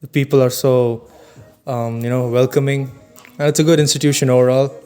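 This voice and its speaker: A young man with a smooth, resonant and mellow voice chats on a student podcast. His pitch stays flat and even, and he pads his thoughts with fillers. Faint music plays underneath. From Bangalore, India. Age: young adult. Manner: measured, filler-heavy. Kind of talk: casual chat.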